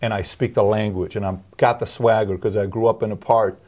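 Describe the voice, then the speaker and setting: A man hosting an interview, asking probing questions in a lively way, often restarting his sentences. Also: lowish voice, brisk. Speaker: interview host. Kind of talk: casual interview talk.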